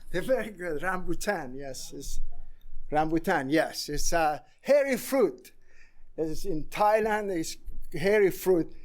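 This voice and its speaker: A man in his sixties from Iran with an Iranian accent, giving a conference presentation in a halting way with many pauses. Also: fairly high pitch, expressive, a little mellow. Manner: halting, many fillers and restarts. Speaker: conference speaker.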